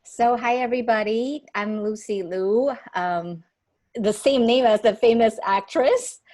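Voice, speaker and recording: A woman's voice: fairly high pitch, a little slow, darkish tone. A young woman from Taiwan whose first language is Chinese, chatting as a podcast guest with frequent laughs and restarts. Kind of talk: casual interview chat.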